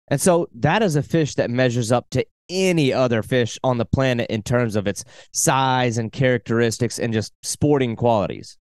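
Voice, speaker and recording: Enthusiastic podcast host, dry close mic. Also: male voice, mid-range voice, darkish tone, no echo. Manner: enthusiastic, conversational. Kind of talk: scripted intro, then casual interview chat.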